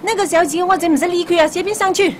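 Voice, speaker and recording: A man voicing a rude middle-aged woman traveller who scolds in a screeching falsetto. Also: fast talker, a little hiss or hum. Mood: scolding.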